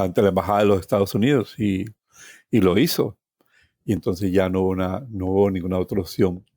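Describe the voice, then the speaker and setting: Low-pitched Colombian man, mellow storyteller. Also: dry recording, clean studio sound. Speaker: interview guest telling his life story. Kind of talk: personal storytelling.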